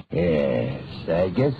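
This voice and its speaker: An actor playing a creepy old man who claims to be a ghost, in a slow, folksy, ominous drawl, in old radio horror style. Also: low-pitched, full character voice. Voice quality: gravelly voice, dirty wheezing laugh. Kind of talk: 1930s-40s radio horror acting. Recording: muffled, noisy old radio recording.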